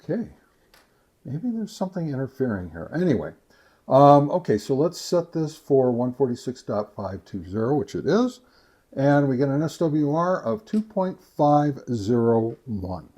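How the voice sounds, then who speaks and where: A man hosting a casual gear test review, talking to viewers in a halting, methodical way. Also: mid-range voice, big pitch swings, mellow, husky. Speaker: host of a radio gear review channel.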